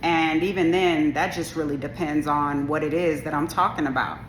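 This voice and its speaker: A woman with a low, deep voice talks to camera in a casual monologue. Her delivery is strong, powerful and assertive, though she rambles and restarts often. The sound is roomy and echoey, with a faint hum of ambience behind her. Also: steady tone, normal speed. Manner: rambling, with many restarts and asides. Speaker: video creator. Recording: echoey room.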